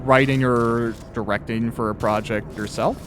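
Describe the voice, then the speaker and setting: A man's voice: medium pitch, fairly even intonation, moderate pace, fairly bright tone, slight background noise. A man hosting a casual interview while playing a video game. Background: video game audio, faint music.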